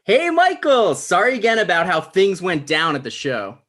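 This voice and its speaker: A man playing an awkward, thin-skinned, lonely show host in a comedy table read, halting and defensive. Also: high voice, huge pitch swings, fairly bright tone, full character voice. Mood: flustered.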